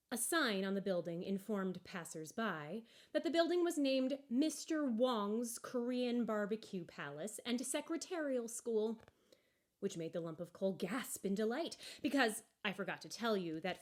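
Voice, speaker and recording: A woman narrating a story aloud from a book in an expressive, deliberate and wry way. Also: medium pitch. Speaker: storybook reader.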